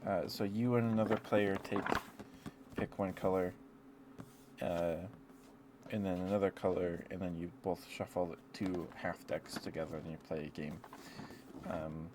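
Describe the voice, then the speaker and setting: Low-pitched man rambling softly on ASMR stream. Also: background noise. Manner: hesitant, meandering, apologetic. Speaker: livestreamer and ASMR host. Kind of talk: rambling ASMR stream, casual chat with viewers.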